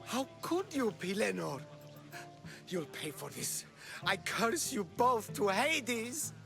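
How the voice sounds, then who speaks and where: An actress playing an anguished, vengeful keeper of sacred snakes in a video game, wailing and accusing in a theatrical way. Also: female voice, medium pitch, very animated, slow, vocal fry, music in the background, full character voice. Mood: furious. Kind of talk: dramatic video game cutscene acting.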